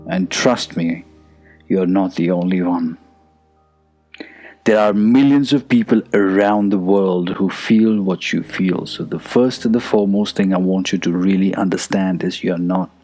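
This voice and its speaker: A man leading a guided meditation in a soothing, unhurried manner that is hushed at times. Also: low-pitched. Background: soft music.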